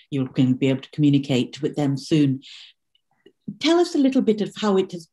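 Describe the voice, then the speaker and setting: A woman's voice: deep voice, mellow. A woman in her forties hosting a seminar, asking long, thoughtful questions conversationally over a video call. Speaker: seminar host, interviewer. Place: room indoors, video call.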